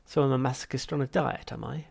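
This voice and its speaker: Man acting a tart, husky, exasperated everyman. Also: medium pitch, fast talker, darkish tone, pitched above the performer's own voice. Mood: tart, irritated. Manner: tart, pleading, indignant. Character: exasperated, tart, polite everyman craving tea. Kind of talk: audiobook character voice.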